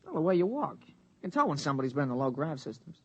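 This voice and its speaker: Tip-hustling young male bellhop, chatty, sly, creaky, dry lo-fi vintage radio recording. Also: on the high side, sing-song, very fast, a bit bright, exaggerated voice. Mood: deferential, curious. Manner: casual, slangy, conspiratorial. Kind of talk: 1950s radio drama acting.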